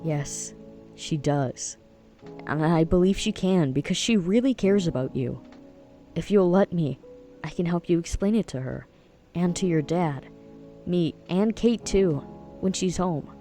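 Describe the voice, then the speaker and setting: A man's voice: high voice, unhurried, dark tone, soft background music. A man playing an earnest, supportive young friend in an audio drama, speaking with emphatic, reassuring phrasing. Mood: gentle reassurance.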